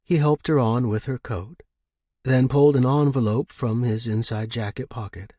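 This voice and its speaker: A man's voice: medium pitch, moderate pace, mellow, dry recording, studio quality. A man narrating a scripted story as an audiobook reader.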